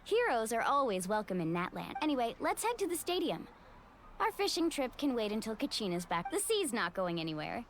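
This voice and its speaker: A woman's voice: fairly high pitch, big pitch swings, a little fast, slight background noise. A woman voicing an upbeat, adventurous young surfer heroine in a video game. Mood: friendly, easygoing. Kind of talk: animated video game voice acting. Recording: loud game music under the voice. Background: loud game music.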